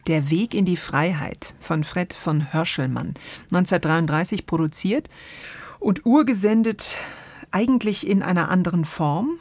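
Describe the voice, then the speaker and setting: A woman hosting a radio drama podcast, talking casually and thoughtfully about plays, often pausing to search for words. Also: on the low side, darkish tone, no room sound.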